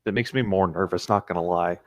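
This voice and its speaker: A man's voice: deep voice, brisk, background noise. A man co-hosting a casual read-aloud, chatting and joking in a rambling, self-amused way. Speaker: co-host reading video game creepypastas aloud.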